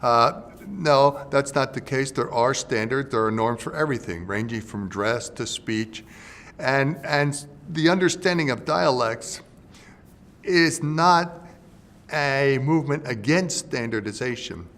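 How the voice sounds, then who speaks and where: A man, a linguist, calmly explaining dialects in an interview. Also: medium pitch, unhurried. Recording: long reverb with faint music.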